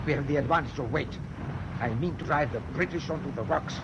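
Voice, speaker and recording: An actor playing a defiant German naval captain in a radio drama, commanding and dramatic. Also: male voice, on the high side, fast, close to an everyday voice. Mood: defiant resolve. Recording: muffled, noisy old radio recording.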